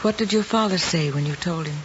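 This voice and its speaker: An actress playing a composed, gently probing woman teacher, measured and proper in an old screen drama. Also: on the low side, natural voice. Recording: dull, hissy old film soundtrack.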